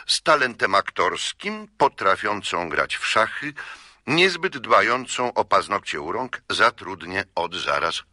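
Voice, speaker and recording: An actor playing a precise, insistent eccentric gentleman in a radio play, speaking formally and deliberately, as if dictating. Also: on the low side, big pitch swings, exaggerated voice. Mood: insistent, emphatic. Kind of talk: radio drama acting.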